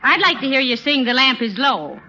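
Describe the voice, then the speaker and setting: An actress playing a wry, sharp-tongued but good-natured wife, folksy and teasing, in 1930s radio comedy style. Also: female voice, high-pitched, expressive, brisk, heavily acted. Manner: dry teasing, folksy exclamations. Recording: muffled 1930s radio, loud studio audience.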